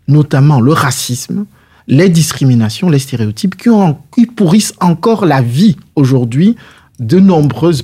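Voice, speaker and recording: A man's voice: medium pitch, mellow, husky. A man answering interview questions as a political guest, in a didactic, structured and rhetorical way.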